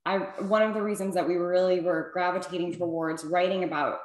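Woman, 30s, clinician guest, thoughtful and earnest, slightly low voice, echoey room. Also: fairly even intonation, fairly quick. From Illinois, USA. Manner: earnest, thoughtful, many fillers. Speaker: author and clinical psychologist guest. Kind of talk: interview answers.

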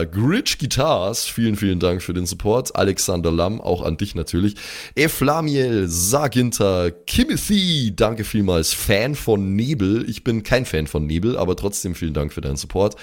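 A man hosting a podcast, casually reading out thanks to supporters with jokey, slangy asides. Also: lowish voice. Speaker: podcast host. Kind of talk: casual supporter thank-you roll call.

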